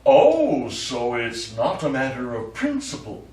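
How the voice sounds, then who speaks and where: An actor playing a smug, lecherous, none-too-bright lawyer in a live stage comedy, with an eager, suggestive manner. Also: male voice, medium pitch, huge pitch swings, slow pace, recorded from a distance. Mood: amused, smug. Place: small theatre stage, distant microphone. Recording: echoey, noisy stage recording from afar.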